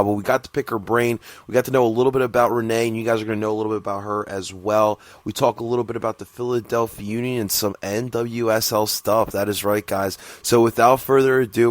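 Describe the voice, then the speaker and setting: A man's voice: medium pitch, a bit bright. An American man with a mid-range voice hosts a casual sports podcast. He is upbeat and effusive, talking informally like one fan to another. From South Jersey, United States. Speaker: podcast host and interviewer.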